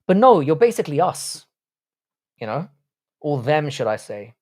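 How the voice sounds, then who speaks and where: A man's voice: on the high side, sing-song, moderate pace, darkish tone. A young man hosting a live stream, reading chat messages and answering in blunt, jokey, crude banter. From Ireland, grew up in the UK. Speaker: livestream host reading chat.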